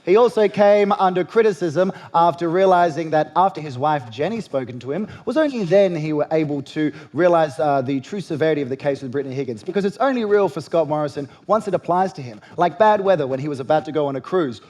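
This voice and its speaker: Australian male late-night host, young adult, mid-high voice, sardonic stand-up monologue delivery. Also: animated, quick pace, few pauses, darkish tone. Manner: sardonic, punchy delivery with rising punchlines. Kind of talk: satirical comedy monologue.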